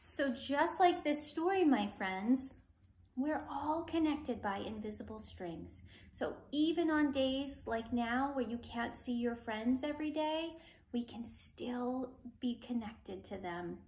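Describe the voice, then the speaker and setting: A woman in her thirties reads a children's story in an unhurried, expressive way. Her voice sits a little high, and she speaks straight to young listeners in an echoey living room. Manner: addresses young listeners directly, simply. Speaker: children's mindfulness teacher reading aloud. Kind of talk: expressive picture-book read-aloud for children.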